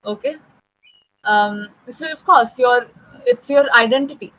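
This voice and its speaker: Bright-voiced tutor woman with a Delhi accent. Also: a little high, measured pace, highs cut off. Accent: Delhi Indian English. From Delhi, India. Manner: confiding, checks in often with "right?". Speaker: spoken English tutor. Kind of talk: online tutoring conversation.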